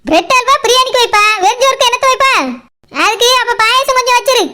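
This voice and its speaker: A woman's voice: very high voice, steady tone, fast talker, sharp tone. A woman voicing a bossy, sarcastic, teasing cartoon boss who scolds in colloquial Kanyakumari Tamil. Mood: brisk, teasing. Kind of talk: comic cartoon dialogue.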